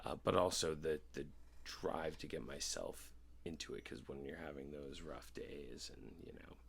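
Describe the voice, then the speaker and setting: Man, thirties, low-pitched, gravelly fry, halting and rambling, candid, casual podcast conversation. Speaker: podcast host. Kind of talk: casual podcast chat about personal experience.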